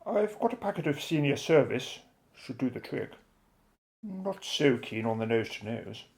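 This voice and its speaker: An actor playing a dashing, unflappable gentleman inventor and pilot with a jaunty, old-fashioned chummy manner in a radio adventure. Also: medium pitch, very animated, normal speed, gravelly. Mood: breezy. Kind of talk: radio adventure drama acting.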